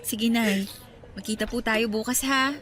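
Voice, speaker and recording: A woman's voice: on the high side, unhurried, fairly bright tone, slight background noise. A woman playing a caring, respectful young woman in a radio drama, speaking with affection.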